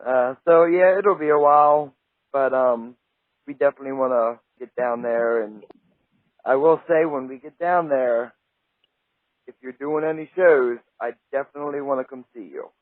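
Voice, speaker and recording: A man's voice: medium pitch, moderate pace, no room sound. Man, radio show host, friendly, enthusiastic, tinny line, dry sound. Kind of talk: casual interview chat.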